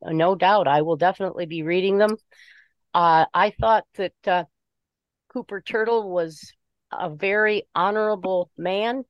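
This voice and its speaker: A woman giving her opinions in an informal book discussion, speaking haltingly and deliberately as she searches for words. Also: fairly low pitch, dry recording, highs cut off. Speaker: book group member.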